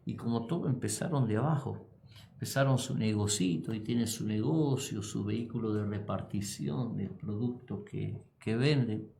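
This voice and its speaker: Man, radio host, gravelly, halting, echoey studio, faint hiss. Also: lowish voice, mellow. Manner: halting, many "eh" pauses, answers with "tremendo".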